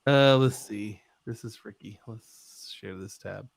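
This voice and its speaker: A man's voice: on the low side, slow pace, vocal fry. A middle-aged man co-hosting a podcast in casual, joking chat, rambling and often repeating his words as he goes. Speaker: podcast co-host.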